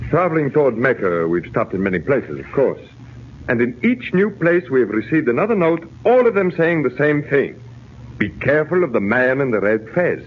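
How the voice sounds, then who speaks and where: An actor playing a pompous middle-aged Polish count, formal and easily flustered, in a 1940s radio serial. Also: male voice, medium pitch, sing-song, normal speed, natural voice. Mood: indignant. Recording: scratchy, narrow-band 1940s radio recording.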